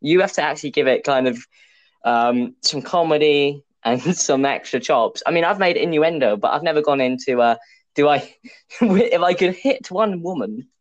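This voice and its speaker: A man's voice: fairly high pitch, animated, moderate pace, no echo, dull audio. A young man hosting a casual podcast, teasing his co-host and laughing often. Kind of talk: casual chat.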